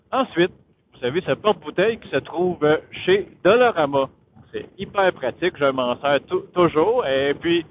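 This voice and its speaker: Quebec man on a tinny intercom. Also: fairly high pitch. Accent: Quebec French. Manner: hesitant, halting, thinking aloud. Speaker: motovlogger, host of his own channel. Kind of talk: casual tech explainer vlog while riding. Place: on a motorcycle outdoors. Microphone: Bluetooth helmet intercom. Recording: muffled phone-like Bluetooth intercom, riding noise.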